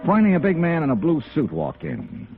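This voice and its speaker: An American actor playing a cool, defiant insurance investigator in 1950s radio noir, with a clipped, needling delivery. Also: male voice, a little high, wildly swooping pitch, a little fast, narrow, tinny audio, faint music behind. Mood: dry narrating. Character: hard-boiled male freelance insurance investigator, cool and defiant. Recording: band-limited vintage radio recording.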